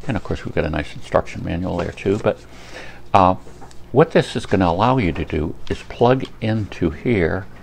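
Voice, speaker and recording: Guy with a deep croaky voice doing a rambly casual product review, bit of background noise. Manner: rambling, pauses to think. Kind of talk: casual unscripted unboxing review.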